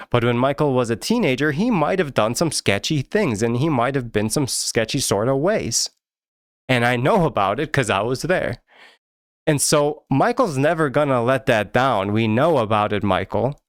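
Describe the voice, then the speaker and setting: Casting: American male host, smooth clear voice, dry close-mic sound, earnest and candid commentary. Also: mid-range voice, dry recording. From United States. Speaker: YouTube channel host. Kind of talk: personal commentary to camera.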